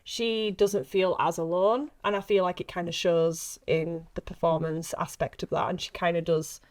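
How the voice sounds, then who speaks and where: A woman's voice: lowish voice, steady tone, moderate pace. A woman in her late twenties, an actress chatting casually on a podcast in a giggly, self-deprecating way. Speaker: actress being interviewed.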